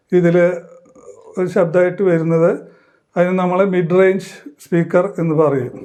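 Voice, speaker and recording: A man explaining a hands-on demonstration in halting, short phrases, like a tutorial presenter. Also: sits high, mellow.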